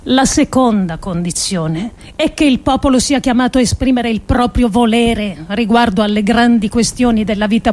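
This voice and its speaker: A woman performing a scripted radio reading in a measured, deliberate, declamatory way with pauses. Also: a little high, darkish tone. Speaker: performer reading an adapted essay.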